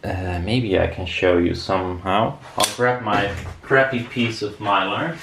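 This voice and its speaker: A man's voice: lowish voice, fairly even intonation, unhurried, background noise. A man giving a casual workshop demonstration as a DIY maker, rambling and thinking aloud as he goes. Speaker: DIY maker presenting a build video.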